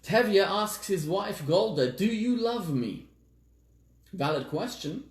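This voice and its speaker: A rabbi from Zimbabwe, raised in South Africa, preaches a sermon in a slightly high voice. He tells stories with dramatic pauses and slows down to stress key words. His voice carries around an echoey room. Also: expressive.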